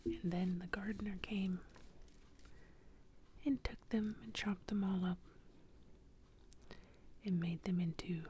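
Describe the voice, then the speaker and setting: A woman telling an improvised bedtime story in a soft ASMR whisper. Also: medium pitch, takes it slowly, pauses often, slight background noise.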